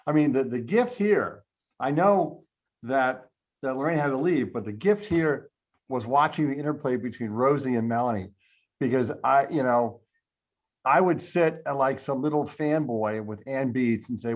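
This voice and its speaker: A man's voice: medium pitch, normal speed. A man hosting a casual discussion, talking discursively and circling back over his phrases. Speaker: host. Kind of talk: casual moderating chat. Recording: dull lo-fi call audio.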